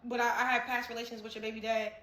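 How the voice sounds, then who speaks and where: A woman talks fast in a high, bright voice, telling a story in casual conversation. the clip is roomy and echoey, as if recorded from across a room. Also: level tone.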